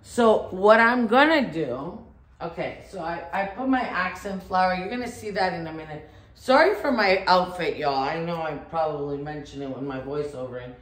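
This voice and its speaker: A woman's voice: on the low side, moderate pace. A woman, chatting and thinking aloud as she gives a casual decorating demonstration. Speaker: home decor vlogger. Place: furnished living room at home, indoors.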